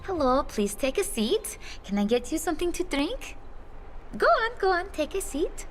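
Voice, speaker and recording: A woman's voice: high-pitched, big pitch swings, moderate pace, soft background music, rough recording. A woman playing a kind, chatty, earthy tavern hostess in a video game, with a coaxing, affectionate, folksy manner. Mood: coaxing, playful. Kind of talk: video game cutscene acting.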